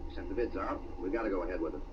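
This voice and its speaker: An actor playing a nervous, irritable American gangster boss in an old radio drama, talking in clipped, tough street slang. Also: male voice, deep voice, very fast, close to an everyday voice. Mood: curt, insistent. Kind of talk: 1940s radio acting. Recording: noisy, muffled old radio, distant.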